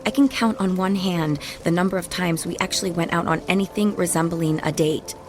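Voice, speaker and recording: An actress playing a sharp-tongued, wounded ex-wife in a radio drama, guarded and full of biting, sarcastic retorts. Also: female voice, on the low side, steady tone, a little fast, a little hiss or hum, close to an everyday voice. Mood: grudging, puzzled.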